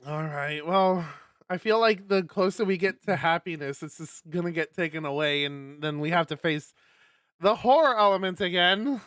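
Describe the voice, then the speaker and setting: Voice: mid-range voice, moderate pace, a bit bright, clear, resonant tone, no room sound, clean studio sound. A streamer reading a visual novel aloud on a live stream, narrating and breaking off for exasperated, sweary commentary.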